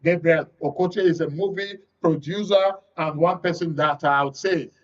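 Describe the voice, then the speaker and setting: A Nigerian man hosts a studio panel discussion. His voice sits on the high side. The sound is somewhat dull and a little distant from the microphone. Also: moderate pace. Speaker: radio and TV talk show host. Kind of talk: live panel discussion hosting. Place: radio and TV studio.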